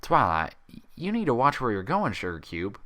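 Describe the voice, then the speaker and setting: A man voicing a motherly, patronizing female pony in a story reading, speaking in a gently chiding way. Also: medium pitch, huge pitch swings, normal speed. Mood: fussing. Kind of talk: dramatized fan fiction reading.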